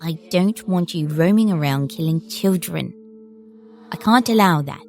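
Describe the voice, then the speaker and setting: A woman playing a stern, precocious little girl in an audio horror drama, speaking in a formal, measured way. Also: fairly low pitch, darkish tone. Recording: music under the voice.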